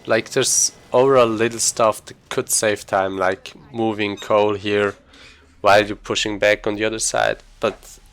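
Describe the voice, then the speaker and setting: Low-voiced man casually commentating a speedrun. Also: level tone, unhurried, a bit bright. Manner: rambling, informal, thinking aloud while playing. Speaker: speedrunner streaming a video game. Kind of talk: casual live gaming commentary.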